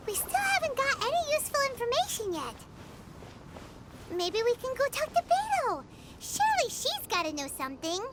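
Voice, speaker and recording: A woman voicing a small, eager, curious childlike fairy companion with a squeaky voice in a video game. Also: wildly swooping pitch, moderate pace, very noisy, full character voice. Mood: pondering. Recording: loud music under the voice.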